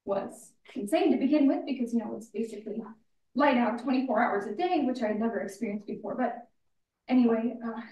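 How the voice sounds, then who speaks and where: Woman acting a bitter grieving daughter in a drama reading, highish voice, trips over words, blunt, distant mic. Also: a little fast, dark tone, lo-fi sound, a little hiss or hum, natural voice. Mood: subdued, halting. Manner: stammering, blunt, raw. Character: bitter, grieving adult daughter, angry at her mother. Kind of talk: staged play reading, naturalistic drama. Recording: roomy, distant mic in echoey space.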